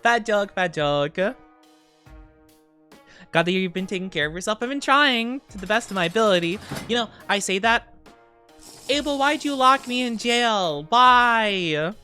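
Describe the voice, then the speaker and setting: A woman's voice: mid-range voice, animated, a little slow, sharp tone, faint music behind. A woman streamer casually chatting with her viewers from her home streaming room, playful and teasing as she answers chat.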